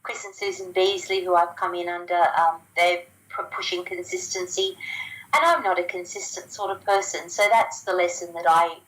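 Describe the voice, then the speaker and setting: Australian woman guest, low-pitched and chatty, restarts her sentences often. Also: steady tone, normal speed, fairly bright tone, rough recording. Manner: chatty, with frequent ums and restarts. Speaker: guest sharing business results. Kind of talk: casual phone interview.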